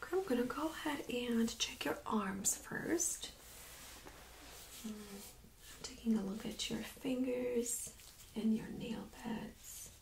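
A woman speaking in a soft, breathy near-whisper, gently giving instructions as a medical examiner in an ASMR role-play. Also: on the high side, rough recording. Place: small room indoors.

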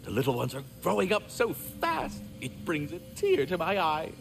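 A man's voice: a little high, wildly swooping pitch, normal speed, creaky, faint music behind, exaggerated voice. A man voicing a polite magical squirrel in a video game, with formal, florid, theatrical diction. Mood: fond, wry.

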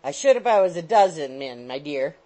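A woman's voice: lowish voice, animated, fairly quick, a little mellow. A woman voicing a meek, henpecked husband in a storybook, speaking in a mild, pacifying manner.

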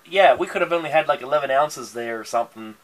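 A man's voice: mid-range voice, expressive, fast, poor audio. A man in his thirties co-hosting a beer review at a home bar, laid-back with crude jokes. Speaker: beer review show co-host. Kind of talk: casual chat. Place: basement bar, indoors.

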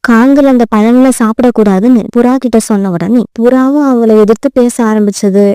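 A woman's voice: a little high, brisk, dark tone, clean tone, no echo. A woman narrating a children's story from a script.

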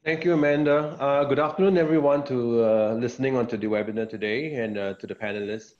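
A middle-aged man introducing himself as a tech company vice president on a panel, speaking in a measured way with many fillers and repeats over a video call. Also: medium pitch. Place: indoor office. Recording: dull lo-fi video call audio.

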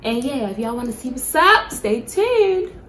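A woman's voice: high-pitched, sing-song, a little slow. A young woman vlogger giving a casual, chatty product review, speaking candidly with plenty of slang and swearing. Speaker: beauty vlogger.

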